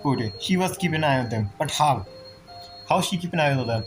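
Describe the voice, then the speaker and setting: Higher-voiced man musing over a game. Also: expressive, brisk. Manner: rambling, musing aloud. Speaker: gaming streamer. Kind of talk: casual game playthrough commentary, reading dialogue aloud. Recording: game music behind the voice. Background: game music.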